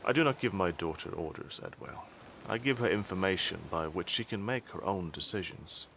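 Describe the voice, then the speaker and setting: Audio drama casting: male actor as deep-voiced, dryly unbothered father and statesman, measured and formal, background noise. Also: lively intonation, creaky, fairly bright tone, exaggerated voice, low-quality recording. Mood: dry, sardonic. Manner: measured, formal, dry wit.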